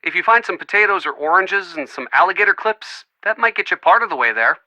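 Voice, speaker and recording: A supportive, bookish young guy for a game. His voice is very bright and a bit high, earnest and reassuring, recorded dry and close. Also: animated, quick pace, no echo, full character voice, low-quality recording. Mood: modest, helpful. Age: young adult. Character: friendly young man, earnest, bookish, supportive boyfriend type. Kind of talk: video game voice acting, phone conversation.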